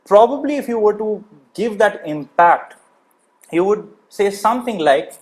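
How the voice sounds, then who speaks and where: A man's voice: high-pitched, lively intonation, unhurried. A man coaching public speaking in an instructional lecture, explaining points emphatically and checking in with the listener.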